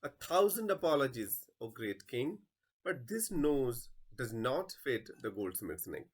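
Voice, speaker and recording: A man's voice: medium pitch, very animated, a little slow, breathy edge. A man playing an apologetic, deferential hangman addressing royalty in a play reading. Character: apologetic, deferential male hangman before his king.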